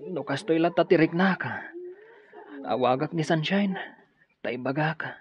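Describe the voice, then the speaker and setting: A man's voice: on the high side, unhurried, lightly acted. A man playing an earnest, mild young student in an Ilocano radio drama. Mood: weary, unwell. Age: young adult. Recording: muffled radio drama with music.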